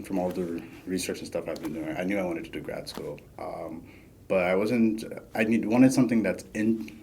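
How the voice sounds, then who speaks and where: Very deep-voiced man. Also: a little hiss or hum. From Bahamas. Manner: relaxed, many fillers while thinking. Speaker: podcast guest, PhD student. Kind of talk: casual interview chat.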